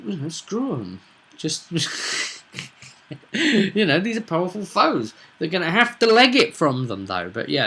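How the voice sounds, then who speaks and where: A man with a mid-pitched, bright and forceful voice talks through a tabletop roleplaying game as its game master. His delivery is unscripted and chatty, rambling along with bursts of emphasis. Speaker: game master presenting own session recap.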